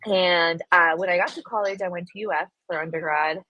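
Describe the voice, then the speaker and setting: A woman from Colombia speaking as a guest in a casual interview, chatty and animated, laughing often and using lots of fillers. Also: on the low side, brisk, highs cut off.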